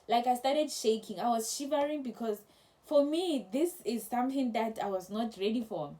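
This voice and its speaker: A young woman casually telling a travel story to camera, chatty and animated, with many restarts. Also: fairly high pitch, moderate pace. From South Africa. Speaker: travel vlogger.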